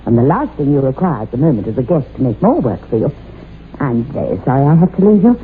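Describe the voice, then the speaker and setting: An actor voicing a falsely sweet, menacing old aunt with affected gentility, in a radio horror drama. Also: male voice, medium pitch, big pitch swings, quick pace, close to an everyday voice. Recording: tinny, hissy old radio drama recording.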